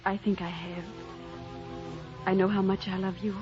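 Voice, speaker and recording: A woman's voice: medium pitch, little pitch movement, normal speed, music in the background, natural voice. A woman acting an anguished, self-sacrificing romantic heroine in an old radio drama, earnest and pleading. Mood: tender. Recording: dull, muffled old radio recording.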